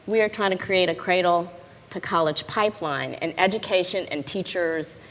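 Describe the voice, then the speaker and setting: A woman's voice: lowish voice, moderate pace. A woman moderating a conference panel, retelling a story with animation before asking her question. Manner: animated storytelling, acting out quotes. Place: conference stage, large hall. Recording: long hall reverb, faint noise.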